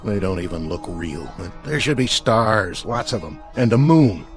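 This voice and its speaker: A low-voiced man as a bitter, self-serving stranded spaceman. His delivery is sardonic and full of complaint, in radio drama style over clear background music. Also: sing-song, a little slow. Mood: bitter, weary. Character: bitter, selfish, desperate stranded spaceship crewman.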